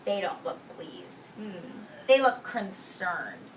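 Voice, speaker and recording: A woman preschool teacher reading aloud expressively to young children, explaining words simply and giving gentle classroom directions. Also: medium pitch, animated, takes it slowly. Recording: roomy, distant microphone, faint noise.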